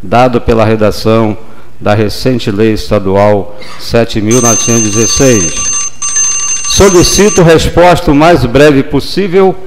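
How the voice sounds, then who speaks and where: A man in his sixties, a city councilman, giving a measured, formal speech at a desk microphone in a council chamber. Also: mid-range voice, slight background noise, low-quality recording. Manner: measured, formal, deliberate pauses. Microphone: desk gooseneck microphone.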